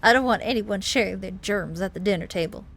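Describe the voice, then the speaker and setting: A woman's voice: mid-range voice, a little fast, gravelly, a bit bright, husky. A woman voicing a strict, fussy mother and doctor in a visual novel, with a firm, bossy manner.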